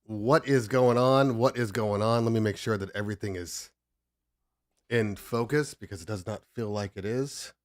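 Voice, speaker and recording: A man's voice: on the low side, moderate pace, no room sound, clean studio sound. Congested man chatting on a livestream. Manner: rambling, self-interrupting, joking. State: congested from just waking up. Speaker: DJ livestream host. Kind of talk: casual livestream chat. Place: home studio at his desk.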